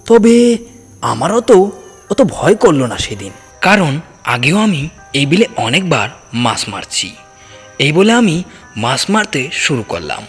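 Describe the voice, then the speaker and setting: A man's voice: on the high side, sing-song, slow pace, pauses often, breathy edge. A man narrating a scripted first-person ghost story in a dramatic storytelling style. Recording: long cavernous reverb, music underneath. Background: background music.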